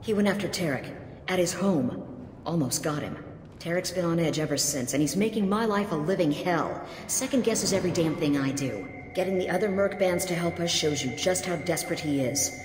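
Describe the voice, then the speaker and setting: A woman voicing a worn-down mercenary lieutenant with a low voice. She talks frankly, with open resentment. The sound is roomy and reverberant, with faint noise behind. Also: moderate pace, a little mellow, natural voice. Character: female mercenary lieutenant, bitter, weary. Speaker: mercenary officer. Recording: echoey game mix.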